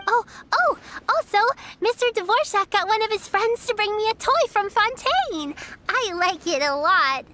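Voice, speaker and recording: A woman's voice: very high-pitched, a little hiss or hum, heavily acted. An actress voicing a cheerful, eager little girl who chatters earnestly in game dialogue. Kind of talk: video game character dialogue. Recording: game audio with soft music behind.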